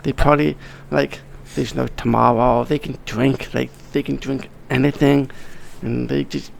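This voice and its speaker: Very gravelly man, blunt and casual. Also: mid-range voice, flat delivery, a little hiss or hum. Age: middle-aged. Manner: blunt, swears freely, halting restarts. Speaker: podcast guest, wedding videographer. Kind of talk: casual conversation.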